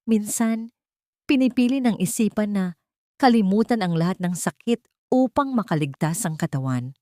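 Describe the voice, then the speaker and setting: A woman narrator plays a grave doctor in an audio drama. The delivery is measured and sombre, in a very dry, close recording. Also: mid-range voice, lively intonation, mellow, no room sound, voice pushed up, natural voice. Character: grave, sympathetic hospital doctor explaining a diagnosis.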